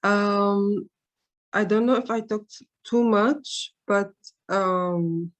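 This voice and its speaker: A woman's voice: medium pitch, drawn-out words. Hesitant woman. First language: Arabic. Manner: hesitant, many fillers and restarts. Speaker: researcher on a panel. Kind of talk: conference roundtable remarks.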